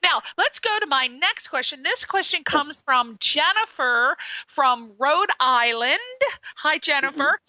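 A woman radio host with a high, very bright voice chats in an animated, self-deprecating way. The sound is dry and slightly dull, like a radio studio mic. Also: measured pace, no room sound. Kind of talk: hosting a listener-question talk show.

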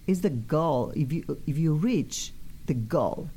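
A woman's voice: low-pitched, animated, takes it slowly, warm tone, husky. A woman hosting a studio talk show, chatty and effusive, often restarting her sentences. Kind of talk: lively studio chat.

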